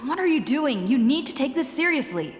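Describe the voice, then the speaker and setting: A woman's voice: high voice, big pitch swings, fairly quick. An actress playing an earnest, exasperated young woman in a game, indignant and insistent. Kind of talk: game voice acting. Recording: echoey hall, faint music behind.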